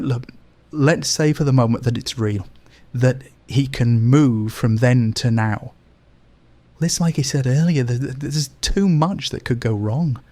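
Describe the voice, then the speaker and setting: A man's voice: mid-range voice, mellow, no echo. An actor playing a worried young man who muses hesitantly to a friend. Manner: musing, hesitant. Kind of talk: audio drama acting.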